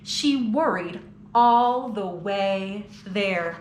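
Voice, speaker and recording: A woman reads a children's picture book aloud, expressively, pausing to ask the young listeners questions. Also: mid-range voice. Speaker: children's storytime reader. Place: children's museum. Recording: roomy echo, faint background noise.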